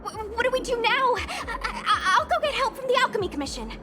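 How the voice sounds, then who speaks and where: Squeaky, flustered little game girl. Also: very animated, a little fast. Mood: panicked. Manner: stammering, flustered. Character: flustered, excitable young girl, small and anxious. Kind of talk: animated video game voice acting. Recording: loud music over the voice.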